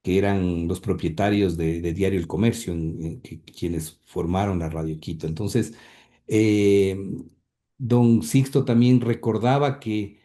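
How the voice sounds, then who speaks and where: A man's voice: low voice, fairly even intonation, a little slow, warm tone. A man answering interview questions about his late grandfather, speaking hesitantly with many fillers and repeated words. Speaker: interview guest, grandson of the subject.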